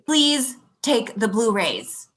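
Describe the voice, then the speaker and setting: A woman playing a curt, fed-up young girlfriend ending a relationship, deadpan, in a table read over a video call. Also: a little high, slow, full character voice. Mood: curt, weary. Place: indoor room on a video call.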